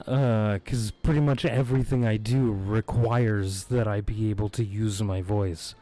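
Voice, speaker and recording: Slow, hoarse-voiced male streamer. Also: on the low side, dark tone. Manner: laid-back, rambling, chuckles often. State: hoarse, losing his voice. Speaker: streamer playing a video game. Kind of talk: casual live-stream chat. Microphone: close desk microphone.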